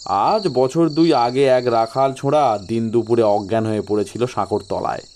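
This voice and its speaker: A man playing a sly local gentleman in an audio story, giving ominous ghost warnings with feigned reluctance. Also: mid-range voice, normal speed, slight background noise, lightly acted.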